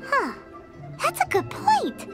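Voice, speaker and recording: A woman voicing a small, cheeky, chatty fairy companion girl in a video game, squeaky, childlike and exclamatory. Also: wildly swooping pitch, takes it slowly, heavily acted. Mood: curious. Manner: refers to self by name, exclamatory. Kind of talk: video game character acting. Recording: game music behind the voice.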